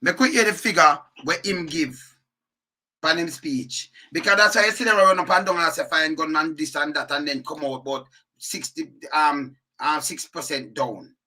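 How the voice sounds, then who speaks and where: A man's voice: on the high side, moderate pace. A Jamaican man in patois giving heated, repetitive commentary as the channel host. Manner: animated, repetitive, indignant. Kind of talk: ranting commentary.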